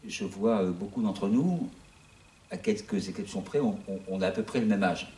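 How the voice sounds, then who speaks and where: A man's voice: on the low side, normal speed, a little mellow. A man in his sixties running an online news outlet, talking casually to a small audience in a rambling way, with many restarts. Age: 60s. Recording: echoey room, voice from far off.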